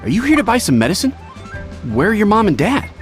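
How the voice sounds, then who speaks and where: An actor voicing a friendly, helpful young pharmacy assistant in a video game, kindly and conversational. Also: male voice, sits high, wildly swooping pitch, quick pace. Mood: kindly, concerned. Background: game music.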